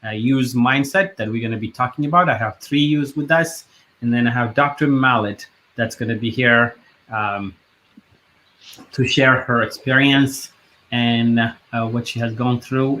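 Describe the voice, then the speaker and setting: A man hosting a live online talk show, speaking earnestly with halting phrases and fillers. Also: mid-range voice, fairly even intonation, pushed and bright, slight background noise, low-quality recording. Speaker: host.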